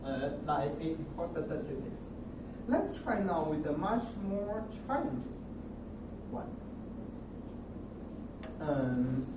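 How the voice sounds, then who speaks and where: A young man giving an impromptu project demonstration, haltingly, often repeating and restarting his words. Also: fairly high pitch, dull audio. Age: young adult. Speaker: student presenter. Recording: roomy, distant mic with noticeable noise.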